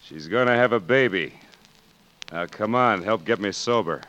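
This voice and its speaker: A man playing a gruff, hard-drinking older frontier doctor, oratorical and commanding, in a 1940s radio western. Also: fairly low pitch, expressive, normal speed, fairly bright tone. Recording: muffled, hissy 1940s radio recording.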